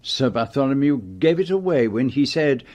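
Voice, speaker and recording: A man plays a shrewd detective in a radio mystery. His voice sits at a medium pitch, and he reasons methodically, with precise, theatrical pauses. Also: animated, darkish tone, dull audio, close to an everyday voice. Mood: dramatic, triumphant. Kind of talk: radio mystery drama acting.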